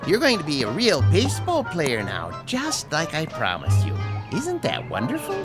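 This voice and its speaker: Courtly elderly inventor-professor, proud and coaxing, wildly swinging pitch, music underneath. Radio drama. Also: male voice, wildly swooping pitch, moderate pace. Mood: pleased, coaxing. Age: older.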